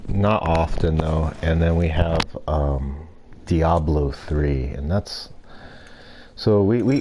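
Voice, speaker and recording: A young man streaming a game and chatting casually, with a stuffy nose and throat and a rambling, relaxed way of talking. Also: sits low, slight background noise. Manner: relaxed, rambling, self-deprecating. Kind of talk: casual gameplay commentary. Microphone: desk mic.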